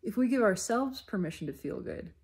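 A woman giving an unscripted, reflective spiritual monologue in deliberate, halting phrases with emphatic repetition. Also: mid-range voice, big pitch swings, creaky, a little mellow. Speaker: speaker sharing personal spiritual reflections.

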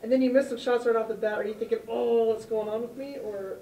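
Woman reporter, off-mic in a roomy press room, rapid chatty questions, mellow tone. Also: fairly high pitch, steady tone, a little hiss or hum. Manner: chatty, rambling questions with fillers. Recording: roomy, distant off-camera mic.

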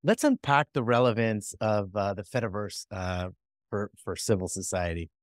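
Low-voiced host in a headset. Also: male voice, animated, moderate pace, dry recording, studio quality. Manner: hesitant, filler-heavy questioning. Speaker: interview host. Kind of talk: video call interview. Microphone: over-ear headset.

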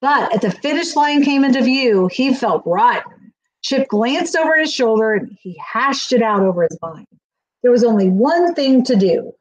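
A middle-aged woman reading a children's picture book aloud in an expressive storytelling manner. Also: fairly high pitch, normal speed, rough recording. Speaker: school staff reading aloud. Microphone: webcam on a video call, near.

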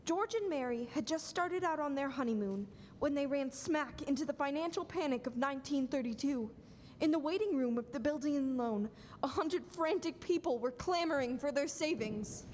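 A young woman acting a composed, folksy storyteller who narrates a radio play at a measured pace. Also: animated, a little mellow. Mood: storytelling. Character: composed storyteller recounting a man's life. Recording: echoey auditorium with faint rumble.

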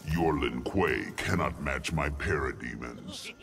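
An actor playing a tyrannical alien god in a video game, with a very deep gravelly voice and a slow, imperious, menacing manner. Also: male voice, animated, over loud music, exaggerated voice. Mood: imperious, cold. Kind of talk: video game villain acting.

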